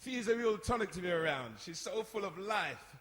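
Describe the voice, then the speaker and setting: An actor playing an affectionate, outgoing uncle in a live family play. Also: male voice, high voice, animated, brisk, fairly bright tone. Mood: warm, reflective. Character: exuberant uncle greeting his whole family affectionately. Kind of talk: stage play acting. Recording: roomy live performance, music behind.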